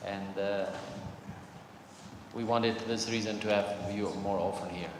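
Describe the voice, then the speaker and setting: A man moderating an open discussion, halting, with many fillers and restarts. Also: lowish voice, steady tone. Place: lecture hall. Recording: echoey hall, clear noise.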